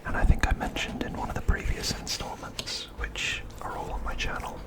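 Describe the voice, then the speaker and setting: An ASMR host giving an unscripted show-and-tell commentary in a breathy, unvoiced whisper, hushed and unhurried. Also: poor audio.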